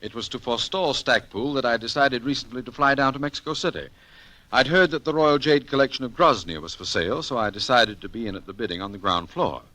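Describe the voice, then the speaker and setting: An actor playing an urbane, easygoing importer and amateur sleuth in a 1940s radio drama, with polished, genial delivery. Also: male voice, mid-range voice, lively intonation, quick pace, pushed and bright, dry recording. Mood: narrating. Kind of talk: 1940s radio detective acting and narration.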